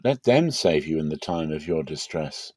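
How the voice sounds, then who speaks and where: A man's voice: rumbling low, very animated, moderate pace, darkish tone, slightly rough, dry recording, clean studio sound, pitched below the performer's own voice, full character voice. A man voicing God as a stern, reproachful deity giving a solemn rebuke in a scripture reading.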